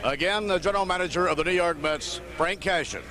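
High-voiced man emceeing a ceremony. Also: a little fast, bright, forceful tone. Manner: formal, announcing. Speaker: master of ceremonies. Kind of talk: formal announcing. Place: outdoor baseball stadium. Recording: outdoor stadium noise.

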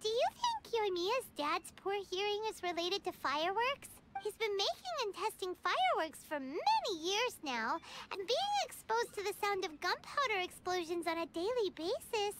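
A woman's voice: extremely high pitch, very animated, normal speed, hardly any pauses, noisy background. A woman voicing a tiny, chirpy floating fairy companion in a video game, who talks about herself in the third person. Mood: excitable. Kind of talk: video game character dialogue. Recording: game audio with loud background music.

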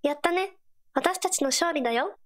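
A woman voicing an earnest, supportive teenage girl companion in a game, gentle and encouraging in short scripted lines. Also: very high voice, moderate pace. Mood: earnest, determined. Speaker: game character voice actor.

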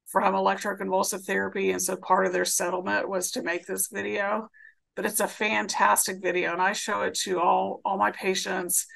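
A woman's voice: mid-range voice, gravelly, fairly bright tone, poor audio. A woman psychiatrist giving a slide presentation over a video call, explaining a treatment in plain clinical terms with some fillers. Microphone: video call webcam.

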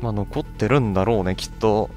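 A man's voice: lowish voice, a little fast, mellow, faint music behind. A man hosting a let's play, chatting casually to viewers and reading bits of on-screen text aloud as he goes.

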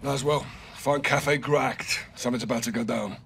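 A man playing a gruff special forces captain in a game, terse, low-key and steady, with a gravelly voice. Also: normal speed. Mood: terse, alert. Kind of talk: game cutscene acting. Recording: noisy outdoor waterside ambience.

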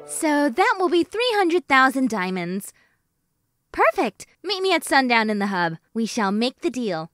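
A woman playing a brisk, businesslike young female trader in an amateur animated movie. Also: high voice, dry recording, studio quality, faint music behind, heavily acted.

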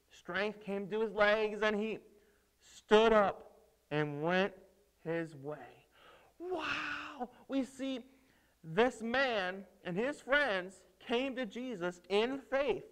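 High-voiced man preaching theatrically to children. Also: a bit bright, poor audio. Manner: theatrical, emphatic, with dramatic pauses and rhetorical questions. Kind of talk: dramatic storytelling sermon for children. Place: indoors at a lectern on a stage set.

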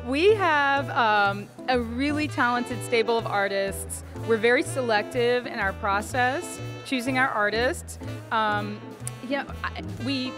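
A woman's voice: on the high side, a little slow, music in the background. An American woman, probably in her thirties, answering questions in an on-camera interview as an art gallery owner. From Dallas, Texas, USA. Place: indoor art fair booth. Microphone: handheld interview mic held out by interviewer.

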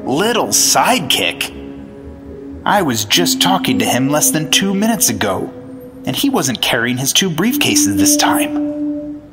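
A man's voice: on the high side, huge pitch swings, measured pace, loud music behind the voice. An actor playing an earnest, dogged 1950s male FBI agent, narrating his case dramatically in an old-time radio detective drama. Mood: earnest, puzzled.

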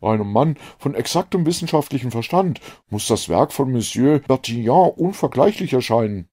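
Man playing an eager higher-voiced gentleman scientist. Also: lively intonation, moderate pace, slightly rough. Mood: earnest, polite. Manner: effusive, fussily precise. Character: eager, talkative young gentleman scientist, gushing and pedantic.

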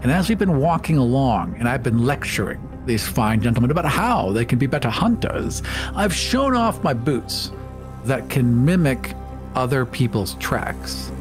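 Male game player, very expressive intonation, describing his character with music behind him. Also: medium pitch, normal speed. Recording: music bed under the voice. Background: background music.

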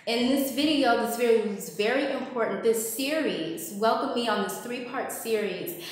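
A woman hosting her channel, welcoming viewers and introducing a discussion series in a lively, direct way from a living room. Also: fairly high pitch, moderate pace. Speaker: host. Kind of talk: upbeat show introduction. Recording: echoey room.

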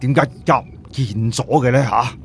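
A man's voice: medium pitch, moderate pace, vocal fry, a little hiss or hum, close to an everyday voice. A man voicing a proud old village chief in a story, exclaiming and grumbling, boastful and easily flustered. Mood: baffled, dismayed. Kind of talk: storybook character voice.

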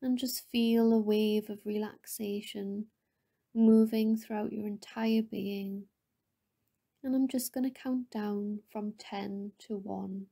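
A woman leading a guided meditation in a soft, breathy, often near-whispered voice, with a soothing, gentle manner and lingering pauses between phrases. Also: medium pitch, level tone.

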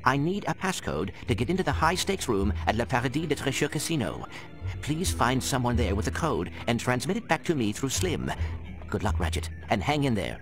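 An actor playing a small, polite robot secret agent in a video game, formal and precise. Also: male voice, mid-range voice, sing-song, fairly quick, over music. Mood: earnest. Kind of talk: spy spoof video game cutscene acting.

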